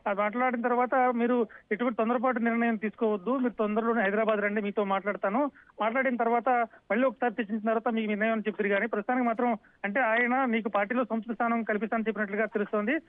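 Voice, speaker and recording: A man reporting live for TV news as a field correspondent, unscripted, with halting starts and repeated phrases, over a phone line. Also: very high voice, steady tone, no echo. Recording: dull, dry phone line.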